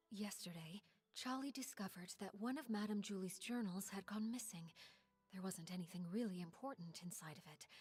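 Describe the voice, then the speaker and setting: Elegant game lady voiced by a woman: breathy, middle-pitched, refined, dramatic. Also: a little mellow, close to an everyday voice. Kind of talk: video game voice acting.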